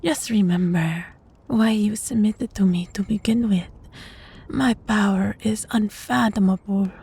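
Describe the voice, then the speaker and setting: A woman playing a sadistic, possessive vampire master in an intimate audio roleplay, teasing and imperious, with a breathy, husky voice. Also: medium pitch, mellow, heavily acted. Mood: smug, menacing. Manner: slow, taunting, imperious, with breathy whispers.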